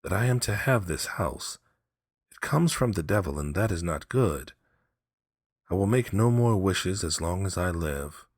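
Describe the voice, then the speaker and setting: A man as earnest, deep-voiced, uneasy sailor. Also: animated, measured pace, no room sound, clean studio sound. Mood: solemn resolve. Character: earnest, uneasy male sailor in a folk tale.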